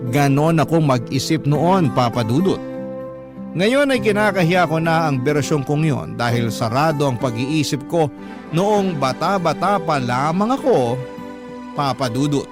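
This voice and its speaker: A Filipino man narrates a radio drama as a first-person letter. His voice is a little high for a man, and his storytelling is reflective and measured. Guitar music plays clearly behind him. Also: animated.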